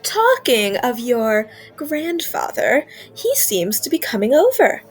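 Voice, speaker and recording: A woman playing a mysterious, courteous stallholder who speaks in an unhurried, knowing manner, in a fan audio drama. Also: on the high side, big pitch swings, slightly rough, full character voice. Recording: music underneath.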